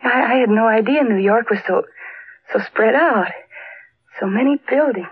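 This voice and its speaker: Young female sweetheart: full of wonder, husky, old-time radio drama, dull fidelity. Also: fairly high pitch, moderate pace. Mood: awestruck. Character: young woman, wide-eyed, breathlessly delighted sweetheart. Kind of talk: 1940s radio acting. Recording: muffled 1940s radio recording.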